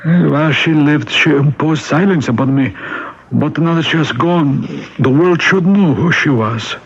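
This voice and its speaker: An actor playing an earnest, reverent parish priest in a radio mystery drama, speaking in solemn, florid phrases. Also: male voice, fairly high pitch, moderate pace, husky. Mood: earnest praise. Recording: dull vintage radio broadcast.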